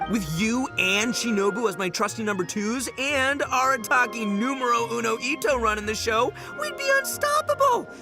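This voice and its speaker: Brash, swaggering young gang boss. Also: male voice, very high-pitched, heavily acted. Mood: scheming, confident. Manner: bombastic, slangy, swaggering. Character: brash, cocky young male gang boss, boisterous and dramatic. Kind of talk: animated video game voice acting. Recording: music behind the voice.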